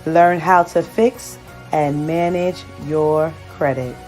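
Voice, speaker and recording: A woman reading a scripted commercial voice-over in a measured promotional way. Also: deep voice, steady tone, darkish tone, soft background music. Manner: slow, measured promotional delivery.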